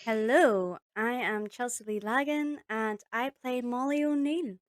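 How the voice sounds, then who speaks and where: A woman's voice: a little high, measured pace, dry recording. A woman chatting casually as an audio drama actress and guest. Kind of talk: casual chat.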